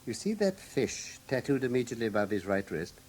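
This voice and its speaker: An English actor playing a brilliant, self-assured detective in a classic radio drama, with precise, teasing, lecturing diction. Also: male voice, mid-range voice, big pitch swings, a little fast, a little mellow, slight background noise, natural voice. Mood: deducing.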